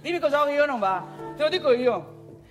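Woman playing a brash, high-voiced northeastern Italian guy. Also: animated, normal speed, faint music behind. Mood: aggrieved. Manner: colloquial, boastful, repeats phrases, addresses listeners directly. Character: brash, grumbling provincial young man chasing women in bars. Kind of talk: theatrical comic monologue.

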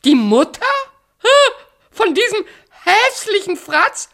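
A woman's voice: very high-pitched, sing-song, slow pace, fairly bright tone, exaggerated voice. An actress playing a sly, evil queen posing as a fruit seller in a fairy-tale radio play, theatrical, wheedling and falsely caring. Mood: mock indignant.

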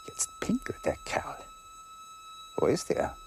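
A man's voice: very high voice, monotone, slow pace. An actor playing a desperate, hunted, lovesick man in a radio drama, thinking aloud in feverish fragments. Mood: wary, agitated. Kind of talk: German radio drama monologue. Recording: noisy 1980s radio drama recording.

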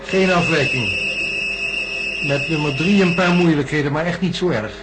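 An actor playing a technician reporting from monitoring screens in a radio play, in crisp, matter-of-fact reports. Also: male voice, a little high, normal speed, very noisy, natural voice.

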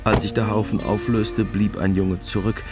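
A man narrating a radio drama from a script in a measured, matter-of-fact way. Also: lowish voice, level tone. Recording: music behind the voice.

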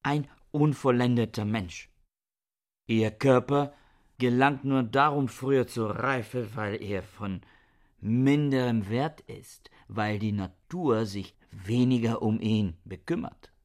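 A man's voice: mid-range voice, slow. An actor playing an embittered, reclusive male scholar in a radio play, insistent and pedantic in manner. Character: embittered, book-obsessed reclusive scholar defending his sanity. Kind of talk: German radio play acting.

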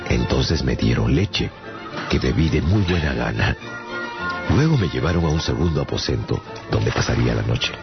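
A male actor playing an afflicted castaway traveler who tells his story earnestly and dramatically in a gravelly voice, in a radio drama. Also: a bass voice, big pitch swings, normal speed, heavily acted. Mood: bewildered, earnest. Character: afflicted English castaway man recounting his strange voyages. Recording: dull sound under prominent music. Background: music.

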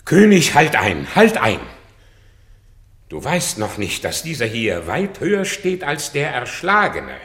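An actor playing a proud, loyal old warrior, declaiming with passion in a classical radio drama. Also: male voice, mid-range voice, huge pitch swings, slow pace, a bit bright, full character voice. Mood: defiant. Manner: impassioned, declamatory.

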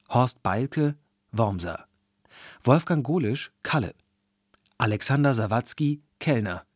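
Measured male radio announcer, very dry sound. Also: medium pitch, no room sound. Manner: measured, precise. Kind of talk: formal scripted credits reading.

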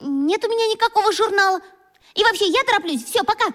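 A woman's voice: very high-pitched, expressive, quick pace, a bit bright, long reverb tail. A woman playing an anxious, guilty and defensive young schoolgirl in a children's radio play, speaking with agitation. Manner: agitated, emotional.

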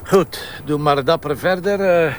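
A man's voice: on the high side, measured pace, a little hiss or hum, lightly acted. A man playing a wry Flemish commissioner. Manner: wry and teasing. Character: joking, self-assured male police commissioner. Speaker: police commissioner. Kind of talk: TV crime drama acting.

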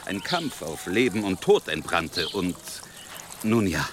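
An actor playing a self-assured but deferential medieval swordsman in a German audio drama, measured, courteous and matter-of-fact. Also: deep voice, sing-song, background noise, exaggerated voice.

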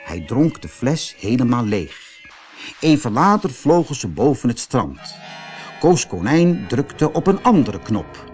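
A man reading a children's audiobook story as narrator, in an expressive, dramatised way. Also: mid-range voice, unhurried, soft background music.

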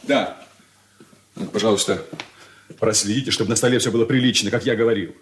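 A man's voice: fairly low pitch, steady tone, a little fast, a little hiss or hum, close to an everyday voice. An actor playing a fussy, irritable grown son who bosses his household with peevish, precise instructions in a Soviet radio play. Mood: fussy, bossy. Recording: roomy 1980s radio drama studio sound.

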